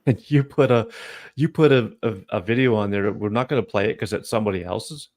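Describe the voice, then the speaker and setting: A man's voice: fairly low pitch, a little fast, a little mellow. A man with a Canadian accent hosting a podcast, chatting casually in a friendly, rambling way with many restarts. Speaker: podcast host.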